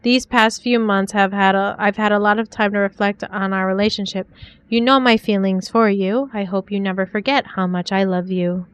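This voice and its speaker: A young woman from the 1940s pours her heart into letters to a secret love, as a woman reads them aloud in a game. Her voice is smooth and clear, of middling pitch, and she reads softly and sincerely. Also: steady tone, moderate pace, close to an everyday voice. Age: young adult.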